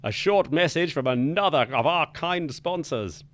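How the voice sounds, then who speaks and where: A man's voice: on the high side, lively intonation, measured pace, fairly bright tone. A man hosting a spoof 1940s wireless hour, his patter jolly and mock-pompous. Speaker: radio show host. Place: studio.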